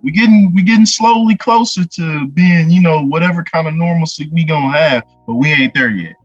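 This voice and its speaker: A man's voice: fairly high pitch, brisk, slightly rough, no echo, highs cut off, faint music behind. A man co-hosting a casual podcast chat, laid-back and agreeable. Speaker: podcast co-host.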